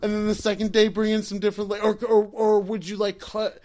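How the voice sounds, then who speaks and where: Higher-pitched man riffing casually. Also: little pitch movement, a little mellow. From rural farming area. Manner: halting, repeats words as he riffs. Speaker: comedy podcast co-host. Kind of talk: casual comic banter.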